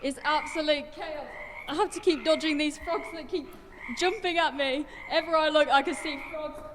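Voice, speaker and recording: With clear background noise and a long echo, a woman acts out a flustered news correspondent on the scene. Her voice is high, and she delivers her mock field report with dramatic, plaintive urgency. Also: steady tone, moderate pace, natural voice. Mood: flustered, pleading. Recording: reverberant, noisy ambient field sound.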